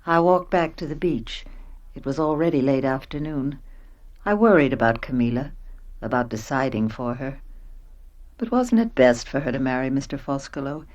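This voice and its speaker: An actress playing a composed, educated woman in a radio play, speaking in a measured, reflective way. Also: very deep voice, expressive, a little mellow, dull audio. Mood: reflective, wistful. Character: composed, educated woman narrating, inhabiting a poor daughter. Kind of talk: radio play narration and dialogue.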